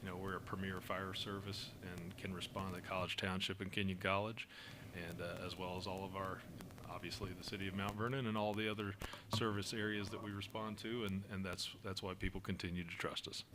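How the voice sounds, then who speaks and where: Flat-voiced man at a council meeting. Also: sits low, fairly quick. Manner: steady, explanatory, frequent fillers and restarts. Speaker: fire service official. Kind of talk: answering questions at a council meeting. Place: city council chamber. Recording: noisy meeting room recording.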